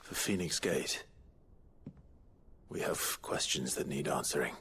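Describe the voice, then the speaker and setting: A man's voice: rumbling low, unhurried, noisy background. A man playing a brooding, guarded young branded soldier with an English accent in a game cutscene, grave and earnest. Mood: resolute. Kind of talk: dramatic video game cutscene acting. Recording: music behind the voice.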